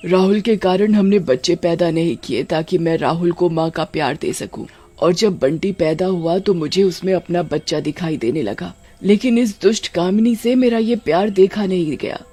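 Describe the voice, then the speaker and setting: A woman voicing a vengeful female ghost who speaks low and threatening. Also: moderate pace, mellow, slightly rough. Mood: stern.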